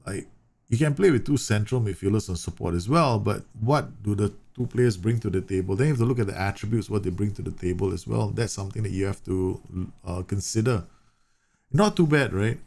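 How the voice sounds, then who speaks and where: A man from Singapore talks through a football management game on a livestream. His voice sits low and carries a gravelly fry. He explains at length, circling back with fillers and self-corrections. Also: a little mellow. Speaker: streamer. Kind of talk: live game commentary answering chat.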